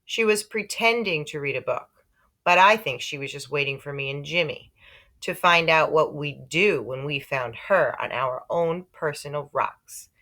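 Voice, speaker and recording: A woman reading a children's story aloud in an expressive, animated way. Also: low-pitched, normal speed. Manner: animated, with character voices for dialogue. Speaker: audiobook-style reader.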